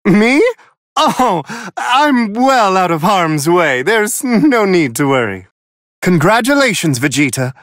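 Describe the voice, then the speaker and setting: A man voicing a serene, refined angel attendant who gives polite, flowery, amused fight commentary in a video game, in a high, airy voice. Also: sing-song, slow pace, fairly bright tone, dry recording, clean studio sound, heavily acted. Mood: politely amused. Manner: polite, flowery, genteel phrasing.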